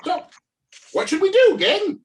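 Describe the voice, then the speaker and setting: A man's voice: extremely high pitch, lively intonation, a little slow. A man playing a melodramatic, two-faced office turncoat in a comedy play read over a video call, with dramatic delivery. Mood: sheepish alarm. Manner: dramatic, swooping from shouts to murmurs. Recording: distant mic on video call.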